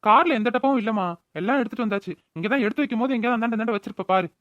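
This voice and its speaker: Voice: medium pitch, racing, dry recording, dull audio, natural voice. An actor playing an earnest, put-upon grown elder brother in a cartoon, pleading and reproachful. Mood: placating. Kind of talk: Tamil cartoon voice-over acting.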